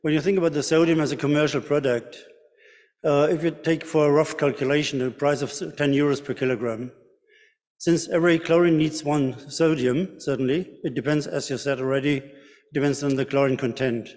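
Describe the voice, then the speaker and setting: A middle-aged man with a slightly high voice explains technical points from a podium. He phrases things deliberately and hesitantly, piece by piece, and a long hall reverb trails his words. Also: steady tone, darkish tone. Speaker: conference speaker answering questions. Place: large ornate hall, indoors. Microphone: podium microphone.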